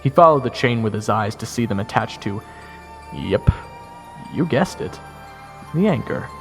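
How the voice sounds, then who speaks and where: A man's voice: fairly low pitch, lively intonation, measured pace, gravelly. A man giving first-person dramatic scripted narration as the hero of a fantasy audio roleplay. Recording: long reverb, music under the voice.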